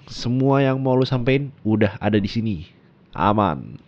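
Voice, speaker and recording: A man's voice: mid-range voice, expressive, unhurried, muffled recording. A man playing a tender young boyfriend speaking in a taped message for an ASMR roleplay, heartfelt and teasing. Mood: playful. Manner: soft, heartfelt, teasing with laughs.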